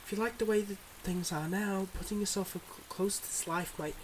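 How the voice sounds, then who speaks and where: A man playing a devoted but guarded mob boss boyfriend in an intimate ASMR roleplay, speaking in earnest, measured confessions. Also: sits high, fairly even intonation, background noise, voice pushed up, natural voice. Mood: solemn, regretful.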